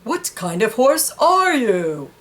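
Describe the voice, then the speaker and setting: A woman acts out a big horse from a picture book, proud of its mane and full of condescension. The voice is fairly high and swings widely in pitch. It teases in slow, cooing baby talk. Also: big pitch swings, a bit bright, exaggerated voice. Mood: indignant. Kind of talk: children's picture book read aloud.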